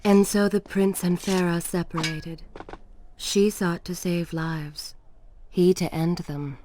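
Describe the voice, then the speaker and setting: A woman playing a grave storyteller, narrating an epic legend in a measured, portentous manner for a video game. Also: fairly low pitch, steady tone, darkish tone, a little hiss or hum, rough recording. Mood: wistful. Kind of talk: scripted dramatic narration. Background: music.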